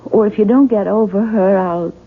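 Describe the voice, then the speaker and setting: An actress playing a hurt, doubting young wife in a radio mystery drama, plaintive and resigned. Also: female voice, medium pitch, normal speed, slightly rough. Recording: tinny, noisy 1970s radio broadcast.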